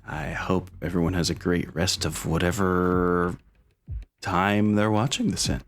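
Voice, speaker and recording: A man's voice: low-pitched, fairly even intonation, measured pace, soft background music. A man hosting as a game master, chatting casually in an upbeat, informal way with players and viewers. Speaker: game master and stream host.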